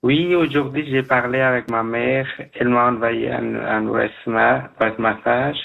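A man from Afghanistan, speaking as a guest in a radio interview, halting, with many restarts. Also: medium pitch, fairly even intonation, gravelly, narrow, tinny audio. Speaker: guest job seeker.

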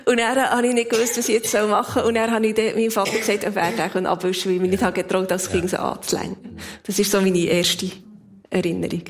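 A woman's voice: medium pitch, little pitch movement, fast. Reflective Swiss woman in her fifties. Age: 50s. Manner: reflective, laughs easily. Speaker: interview guest. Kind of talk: casual personal storytelling.

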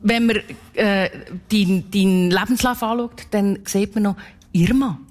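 Woman, Swiss German, interview host, lively, laughs often. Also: mid-range voice, measured pace. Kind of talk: interview hosting.

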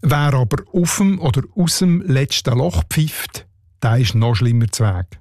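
A man with a Swiss German accent walks through old sayings in a calm, explanatory tone. His voice sits at a middle pitch. The studio sound is close and dry. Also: no room sound. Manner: explanatory, measured storytelling. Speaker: radio dialect reporter. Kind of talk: scripted radio feature. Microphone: studio microphone.

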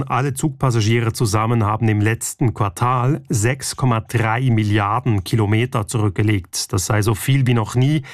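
Man, radio news bulletin, even delivery, dry sound. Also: on the low side, no echo. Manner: measured, even reading. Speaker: radio newsreader.

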